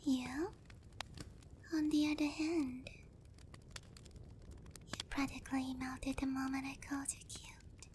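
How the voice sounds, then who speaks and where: A woman playing a seductive, doting, gently dominant giant demon queen in a soft, breathy whispered ASMR roleplay, teasing and coaxing, close to the microphone. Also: sits high, slight background noise. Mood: teasing, amused.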